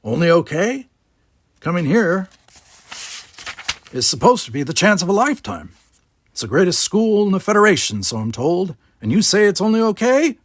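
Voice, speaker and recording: A man's voice: high voice, big pitch swings, normal speed, long pauses, no room sound, voice pushed up. A man voicing a grumpy, nosy little old male gardener, with a blunt, barking and emphatic delivery. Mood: grumpy, probing. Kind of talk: children's novel read-aloud character voice.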